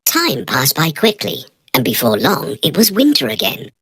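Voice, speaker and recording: A woman's voice: low-pitched, wildly swooping pitch, normal speed, fairly bright tone, slightly rough, no echo. A woman narrating a children's storybook from a script.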